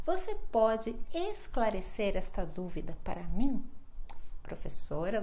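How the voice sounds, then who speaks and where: A Brazilian woman teaching Portuguese online, repeating each example sentence patiently. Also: on the high side. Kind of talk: online language lesson.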